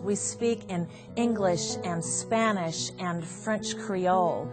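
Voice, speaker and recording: Earnest lower-voiced woman in an interview. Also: slow pace, music in the background, poor audio.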